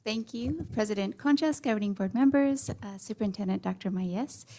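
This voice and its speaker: A woman giving a lively, casual staff report at a school board meeting, with fillers like "um" and "you know". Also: medium pitch, moderate pace, a little hiss or hum. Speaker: district staff presenter.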